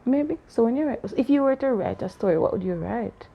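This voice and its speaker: A woman's voice: on the high side, expressive, a little fast, creaky, lo-fi sound. A woman talking a child through a story, asking questions, laughing often and playfully challenging the child's answers. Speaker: aunt discussing a story with a child. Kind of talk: casual discussion after a story reading.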